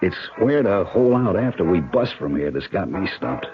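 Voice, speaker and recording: A tough American man of action in an old radio thriller, with a low voice. He is practical, clipped and slangy, giving orders. Music plays clearly behind the muffled recording. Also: sing-song, moderate pace. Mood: brisk, plotting. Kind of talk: old-time radio drama acting.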